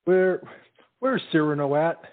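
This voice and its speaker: A man acting a dim, nervous young suitor in a stage comedy, heard through tinny, narrow sound. He stammers and dodges in a fairly high voice that swings up and down. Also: animated, measured pace. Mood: nervous, evasive. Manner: hesitant, stammering, evasive. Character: tongue-tied, dim, anxious young suitor soldier.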